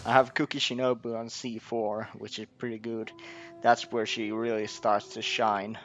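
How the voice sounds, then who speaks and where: A man's voice: fairly low pitch, little pitch movement, normal speed, lo-fi sound. A man giving casual gameplay commentary, hesitantly thinking aloud. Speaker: gamer recording gameplay. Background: soft game music.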